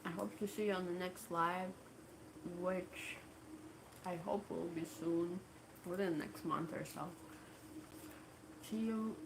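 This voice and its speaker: A woman with a Russian accent, a native Russian speaker, chatting casually on a livestream in a halting way full of fillers. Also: lowish voice, fairly even intonation, vocal fry, noisy background. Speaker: livestream host.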